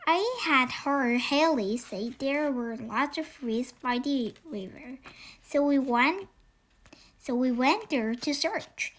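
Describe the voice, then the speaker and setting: A woman's voice: sits high, lively intonation, slow. A young girl of about eight reading a children's story aloud, earnest and careful, with occasional stumbles. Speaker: young reader of a children's book read-aloud. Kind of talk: expressive storybook read-aloud narration.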